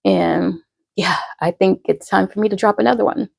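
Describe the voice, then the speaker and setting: A woman in her thirties, a poet and podcaster, talking casually to her followers in a slow, rambling way full of fillers and restarts. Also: medium pitch, fairly even intonation, creaky, husky, dry recording. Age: 30s.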